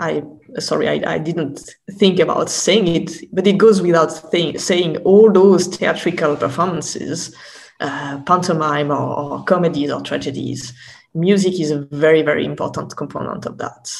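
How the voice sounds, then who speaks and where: Hesitant woman academic, gravelly creak. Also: deep voice. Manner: halting, repeats words while searching for phrasing. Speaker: academic expert guest. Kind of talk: explanatory interview conversation.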